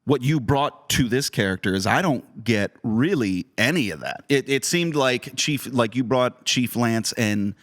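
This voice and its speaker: A man's voice: mid-range voice, animated, unhurried, hardly any pauses. A man speaking casually as a director co-hosting a podcast conversation. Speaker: director and co-host.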